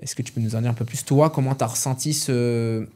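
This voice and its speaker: A man's voice: medium pitch, steady tone, brisk, warm tone. A man hosting a casual interview, chatty and piling one question onto the next. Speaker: podcast host, interviewer.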